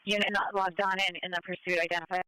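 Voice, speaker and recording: A man's voice: high voice, little pitch movement, fast talker, pushed and bright, clear, resonant tone, no echo, rough recording. A man handling police radio traffic in a clipped, procedural manner, heard over a scanner.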